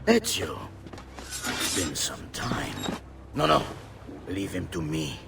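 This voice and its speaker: Brief: sinister villain, very deep and gravelly, swooping pitch, loud noise behind. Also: male voice, wildly swooping pitch, slow pace, full character voice. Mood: menacing. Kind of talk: video game cutscene acting.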